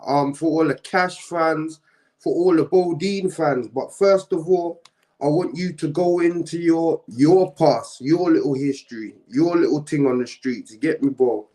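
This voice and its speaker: A man's voice: fairly high pitch, a little slow, poor audio. A man hosting a live-streamed interview, chatting casually and often tagging his questions with slang. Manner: relaxed, uses slang tags.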